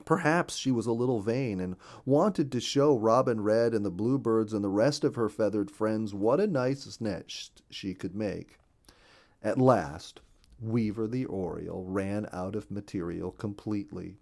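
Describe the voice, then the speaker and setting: A man narrating a children's storybook in a gentle, expressive reading voice, recorded on a studio microphone. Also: fairly low pitch, measured pace, gravelly. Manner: gentle, expressive reading with a lot of rise and fall.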